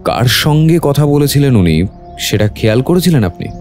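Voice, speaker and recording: A man playing a brisk, authoritative police detective in an audio drama, curt and guarded in his questioning. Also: mid-range voice, very animated, darkish tone, faint music behind, close to an everyday voice. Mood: probing. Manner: curt, questioning, mixes in English phrases. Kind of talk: acted dialogue with background music.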